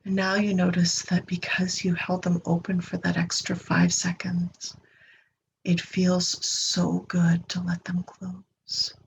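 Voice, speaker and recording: A woman leading a guided meditation in a gentle, unhurried manner, leaving long pauses and trailing off breathily at the ends of phrases. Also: fairly low pitch, completely flat delivery. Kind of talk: guided meditation, slow soothing instruction. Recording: dull lo-fi recording with clear background noise.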